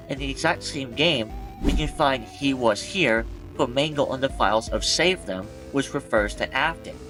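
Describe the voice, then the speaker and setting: A man's voice: medium pitch, a bit bright, low-quality recording. Chatty male video essayist over music. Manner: chatty, rhetorical questions to the viewer. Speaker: YouTube game-lore theorist and host. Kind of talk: scripted conversational video essay narration. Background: background music.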